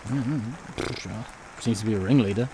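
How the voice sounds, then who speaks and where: A man's voice: lowish voice, normal speed, warm tone, slight background noise, lightly acted. A man playing the Doctor, a witty, humane alien adventurer who talks in wry, flustered repetitions in an audio drama. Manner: flustered, repeats words, wry.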